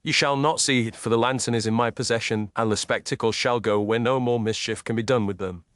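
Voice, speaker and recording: A man's voice: mid-range voice, fairly even intonation, a little fast, fairly bright tone, no room sound, close to an everyday voice. A man playing a shrewd, self-assured Victorian detective with a precise, commanding, analytical delivery. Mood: cool, assured.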